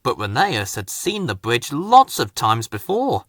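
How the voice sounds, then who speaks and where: A man reading a children's storybook aloud in an expressive, dramatic way. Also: mid-range voice, unhurried, dry recording, clean studio sound. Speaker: story narrator. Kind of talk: scripted children's storybook narration.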